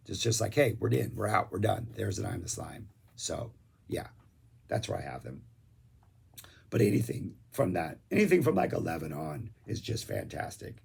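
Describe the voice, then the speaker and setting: Hoarse male music reviewer, raspy and husky, casual rambling delivery. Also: on the low side, a little mellow. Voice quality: gravelly, raspy. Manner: rambling, enthusiastic, lots of fillers. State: losing his voice, hoarse.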